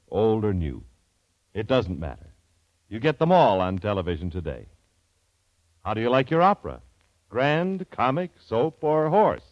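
A man's voice: medium pitch, no echo. An American man announcing on old-time radio in a polished, emphatic, scripted sales delivery. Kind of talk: 1940s-50s scripted radio announcing and advertising. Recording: dull vintage radio broadcast.

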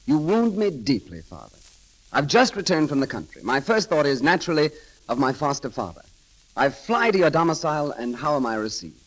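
An actor playing a roguish, glib young poet-thief in a theatrical, flowery 1930s radio drama manner. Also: male voice, on the high side, very animated, brisk, low-quality recording. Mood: glib, mock-wounded. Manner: theatrical, flowery, grandly declaiming. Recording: old 1930s radio broadcast, music behind.

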